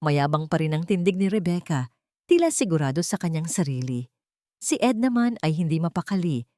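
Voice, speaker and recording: A woman's voice: fairly low pitch, sing-song, fairly quick, warm tone, no room sound, clean studio sound. A woman with a Filipino accent reading a dramatic scripted story as an audiobook narrator.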